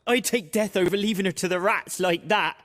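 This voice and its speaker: A plain village fisherman from a fantasy game, haunted by lost love. His voice sits fairly high for a man. He talks in halting, rustic, emotional phrases. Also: a bit bright. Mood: grieving, resigned. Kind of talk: dramatic video game voice acting.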